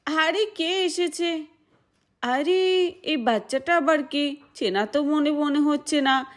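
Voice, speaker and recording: Kind, humble village heroine for a doll story woman performer: high-pitched, caring delivery. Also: slow. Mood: curious, caring. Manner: gentle, reassuring, caring.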